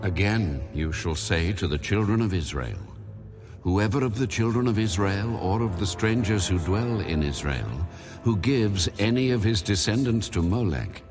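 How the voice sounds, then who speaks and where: An almighty male deity in a scripture audio drama, speaking in a very low voice. He is solemn and commanding, declaring each law and judgment as final. Music plays clearly behind him. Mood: stern, judging.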